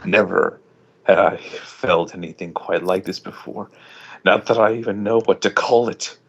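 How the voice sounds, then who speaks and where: A man's voice: low voice, unhurried, creaky, a bit bright, breathy edge. A man giving an amateur, melodramatic reading of story narration, stumbling over words now and then. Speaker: fan fiction reader. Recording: dull lo-fi home recording.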